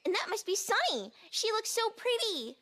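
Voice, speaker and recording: A woman's voice: very high-pitched, very animated, moderate pace, a bit bright, exaggerated voice. A woman voicing a bubbly, childlike, cheerful young female pony in a fan audio drama, perky and sing-song. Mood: delighted.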